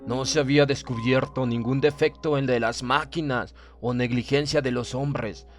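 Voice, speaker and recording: A man reading a horror story as a dramatic audiobook narrator, in the role of a stern German submarine officer, with measured, theatrical delivery. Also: mid-range voice, fairly even intonation. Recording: narration over clear background music. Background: music.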